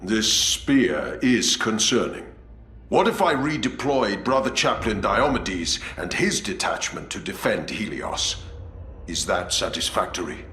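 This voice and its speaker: A man's voice: lowish voice, lively intonation, a little slow, pushed and bright. A man playing a resolute space marine commander in a video game, speaking formally and with authority. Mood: wary, measured. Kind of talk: dramatic video game cutscene acting. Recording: echoey, faint background noise.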